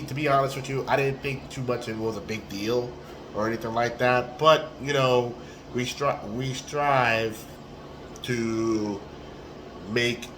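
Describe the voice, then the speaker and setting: A man in his forties with a hoarse, raspy voice, talking casually into a phone held at arm's length in a small room, with plenty of ums and restarts. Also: medium pitch, noisy background. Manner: relaxed and rambling, with many ums and restarts. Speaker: tournament organizer. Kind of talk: casual selfie video recap.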